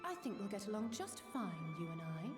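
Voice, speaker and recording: A woman voicing a spirited, candid young adventurer in a video game, with a brisk, frank, conversational manner. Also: mid-range voice, sing-song, lightly acted. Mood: teasing, frank. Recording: echoey, long reverb, faint music behind.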